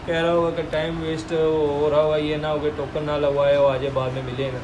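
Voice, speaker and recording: A man's voice: a little high, little pitch movement, fairly quick. Lahori man with a raised voice, noisy background. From Pakistan, Lahore. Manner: conversational, retells dialogue in quotes, repetitive. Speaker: pigeon club owner. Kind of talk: casual storytelling to camera. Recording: noisy background with air conditioning and traffic.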